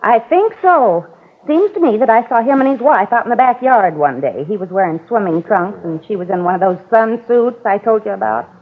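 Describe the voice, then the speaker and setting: A woman's voice: medium pitch, lively intonation, moderate pace, close to an everyday voice. An actress playing a chatty older female neighbor who rambles on about her cat, in 1950s radio drama style. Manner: rambling, gossipy. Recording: tinny old radio broadcast.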